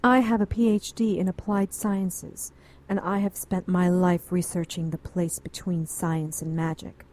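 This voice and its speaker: A woman's voice: on the low side, little pitch movement, unhurried, darkish tone, lightly acted. An actress playing an anxious, educated middle-aged woman client in a noir radio drama, earnest and pleading. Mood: insistent.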